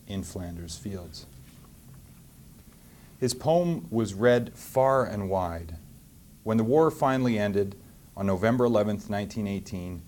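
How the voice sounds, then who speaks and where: A man with a Canadian accent speaking as a mayor to schoolchildren, in a measured, simply worded way. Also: on the low side, darkish tone, a little hiss or hum. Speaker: mayor hosting and reading to children. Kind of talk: public talk and storybook reading to schoolchildren.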